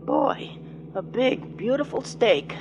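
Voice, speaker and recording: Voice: medium pitch, sing-song, takes it slowly, lo-fi sound, noisy background. An actor playing an earnest young assistant in a 1940s radio drama, pleading and eager.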